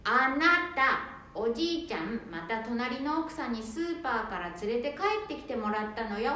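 A polite daughter-in-law voiced by a woman speaks in a high voice, patient but put-upon as she runs the household. It is amateur radio drama acting, heard in a strongly echoing room with faint noise. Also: expressive, moderate pace. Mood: gently complaining. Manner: polite, domestic, gently complaining.

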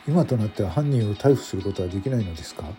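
A man's voice: lowish voice, expressive, rapid-fire, dark tone, faint music behind, natural voice. A man playing a polite, deferential junior detective in a radio drama, asking hesitant questions.

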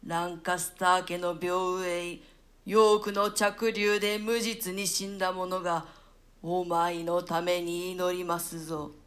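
A woman voicing the ghost of a drowned duke in a radio play reading, speaking slowly and deliberately. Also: flat delivery, a bit bright, soft background music. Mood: blessing. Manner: slow, low, deliberate delivery. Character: ghost of a drowned male duke, grim and cursing.

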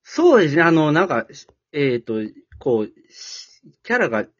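A man with a Kansai accent and a husky voice that swings extremely widely in pitch. He chats in a halting, jokey, self-deprecating way, recorded dry and slightly dull. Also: very animated, dry recording. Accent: Kansai Japanese. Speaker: amateur theatre troupe leader and scriptwriter. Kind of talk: casual chat.

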